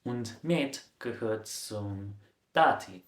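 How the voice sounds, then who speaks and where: Casting note: a low-voiced man, a casual vlog-style explainer, recorded in an echoey room. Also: expressive, very slow. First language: English. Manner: chatty, corrects his own slips with a laugh. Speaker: language vlogger. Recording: roomy echo.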